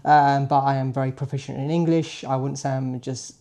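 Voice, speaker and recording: A man's voice: medium pitch, little pitch movement, a little fast, warm tone. A man in his late forties, a native Punjabi speaker, talking chattily and informally in a daily vlog, often checking in with a questioning tag. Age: late 40s. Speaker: car vlogger. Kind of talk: casual daily vlog monologue to viewers.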